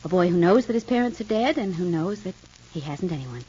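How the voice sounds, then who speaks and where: Low-voiced, hesitant radio soap wife. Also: female voice, expressive, fairly quick, warm tone, husky. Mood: hesitant, wistful. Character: gentle, hesitant wife hoping to adopt an older child. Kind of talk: 1950s radio soap opera acting. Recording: dull, noisy old radio recording.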